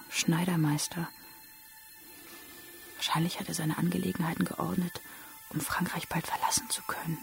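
An actress playing a tender, anxious young daughter in a radio drama, thinking aloud in a hushed, breathy half-whisper. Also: female voice, lowish voice.

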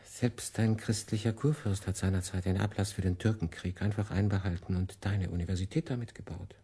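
An actor playing a worldly, condescending cardinal in a radio play, impatient and sardonic. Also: male voice, deep voice, steady tone, brisk, husky. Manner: exasperated, sardonic, swinging from hushed to blustering.

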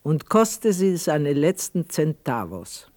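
A man narrating a literary radio play as a measured storyteller. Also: a little high, very animated. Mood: measured, reflective.

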